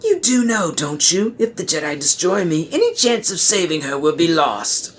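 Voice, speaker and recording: Man as a sly, high-pitched Sith villain. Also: big pitch swings, moderate pace, a bit bright, slight background noise. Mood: insinuating. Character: sly, menacing male Sith chancellor, theatrical villain. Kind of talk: parody audio play villain.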